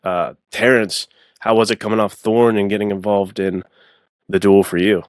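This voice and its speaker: A man hosting a podcast in casual conversation, with halting, informal, rambling phrasing. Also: on the low side, level tone, dry recording, studio quality. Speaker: podcast host.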